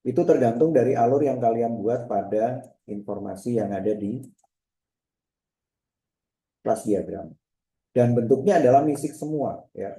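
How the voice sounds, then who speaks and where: A man's voice: lowish voice, gravelly, dark tone. A man giving an informal step-by-step demonstration as a lecturer, repeating himself with short checking tags, with a slight cough. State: bit of a cough, clearing throat. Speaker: lecturer teaching a software diagram class. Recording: echoey room sound.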